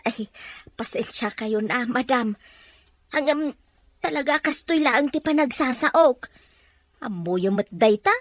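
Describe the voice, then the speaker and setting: A woman's voice: high-pitched, expressive, a little slow, pauses often, no room sound. A woman acting a sassy, outspoken young woman who talks back, in a Filipino audio drama. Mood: cheeky, reproachful.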